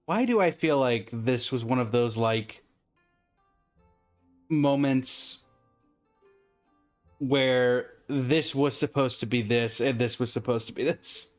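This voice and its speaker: A woman's voice: a bass voice, slow, long pauses. A woman giving casual reaction commentary as she plays through a game's story. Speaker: game streamer reacting to story. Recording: game music behind the voice.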